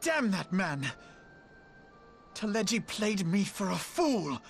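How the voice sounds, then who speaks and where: A man's voice: sits high, very animated, slow, gravelly, faint music behind, full character voice. An actor playing a proud, betrayed young male leader in a video game, intense and formal. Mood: bitter anger. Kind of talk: video game cutscene acting.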